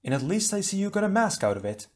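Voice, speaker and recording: A man's voice: sits high, big pitch swings, quick pace, pitched above the performer's own voice. A man voicing a cheerful, chatty young male air hero who speaks in broken, jumbled grammar.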